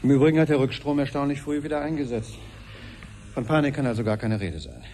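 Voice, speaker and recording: An actor playing an evasive, unflappable ambassador, speaking in an official, placating manner in a 1970s radio drama. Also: male voice, mid-range voice, fast, dark tone, natural voice. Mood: glib, placating. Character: smooth-talking, evasive male ambassador, unflappably dismissive. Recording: 1970s radio production, faint background noise.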